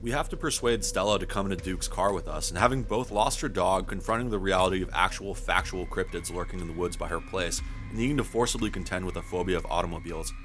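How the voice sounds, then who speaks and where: Male narrator for game review, very deep and quick, wry and casually sweary. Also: a bit bright, faint music behind. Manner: wry, wordy, casually profane.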